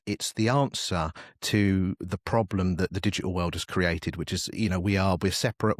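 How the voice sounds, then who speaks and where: A man's voice: low-pitched, no echo, clean studio sound. A man in a reflective podcast conversation, explaining his thoughts at length.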